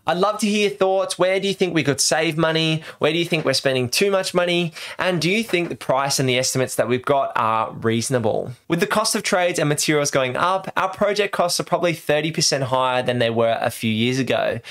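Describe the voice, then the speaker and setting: A man's voice: fairly high pitch, a bit bright. An Australian man in his thirties explaining things as a vlog host, speaking into a close desk microphone in a small room at home. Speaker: host of a home renovation video series. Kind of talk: explanatory vlog talk to camera. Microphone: desk microphone close to mouth.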